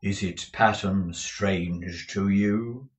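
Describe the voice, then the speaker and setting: A man voicing a doomed ghost in chains, speaking slowly and gravely. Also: sits low. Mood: solemn. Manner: slow, drawn-out, grave delivery. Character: doomed male ghost in chains, solemn and mournful.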